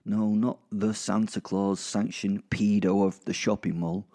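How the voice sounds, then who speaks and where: A man's voice: on the low side, flat delivery, measured pace, dark tone. A man with a British accent playing a sardonic, foul-mouthed werewolf serial killer who narrates in a rambling, confiding way. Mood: wry, aggrieved. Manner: rambling, confiding, sweary, dry. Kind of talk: dramatised first-person narration to listeners.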